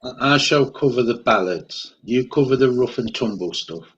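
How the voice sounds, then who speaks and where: A man's voice: mid-range voice, animated, moderate pace, a bit bright, husky, highs cut off. A man co-hosting a live chat stream, teasing and joking while reading out comments. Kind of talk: casual live-stream banter.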